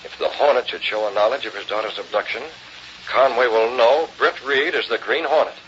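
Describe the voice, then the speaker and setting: An actor playing a determined young newspaper publisher with an insistent, clipped way of speaking, in an old radio drama. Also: male voice, mid-range voice, fairly quick, a bit bright, lightly acted. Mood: plotting. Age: young adult. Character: determined, probing young newspaper publisher, secretly a masked crimefighter. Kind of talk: 1930s-40s radio drama acting. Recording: dull, noisy old radio recording.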